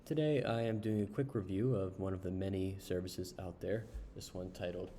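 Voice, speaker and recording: A man casually reviewing an online service while browsing its pages, unhurried, with frequent ums and uhs. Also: low voice, steady tone, mellow, slight background noise. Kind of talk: casual screen-share review.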